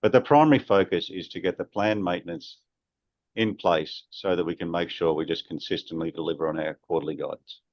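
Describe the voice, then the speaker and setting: A male chief executive answers on an earnings call in a low-pitched voice. The sound is dry and slightly muffled, like band-limited conference audio. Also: a little fast, dry recording.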